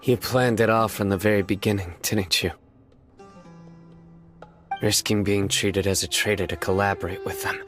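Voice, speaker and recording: An actor playing a stern young male judge in a video game, speaking in a measured, composed way. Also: lowish voice, steady tone, fairly bright tone, faint music behind, lightly acted. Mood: somber. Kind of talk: video game cutscene voice acting.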